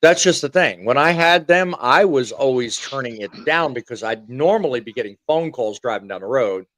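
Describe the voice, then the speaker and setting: A man hosting a live casual panel chat, a former truck driver telling stories with animated delivery. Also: mid-range voice, moderate pace, no echo.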